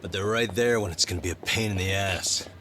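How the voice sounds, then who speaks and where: An actor playing a gritty private detective in a video game, calm, driven and dryly matter-of-fact. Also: male voice, sits low, a little fast, background noise, lightly acted. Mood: wry, pressed.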